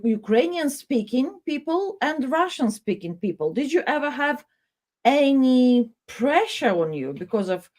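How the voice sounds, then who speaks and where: A woman hosting a news program and interviewing a guest. She speaks haltingly, with frequent hesitations and restarts. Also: on the high side, darkish tone. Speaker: news host.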